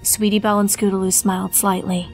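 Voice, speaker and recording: A woman's voice: medium pitch, little pitch movement, fast, darkish tone. Woman narrating a story over music. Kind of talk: scripted fan fiction story reading. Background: background music.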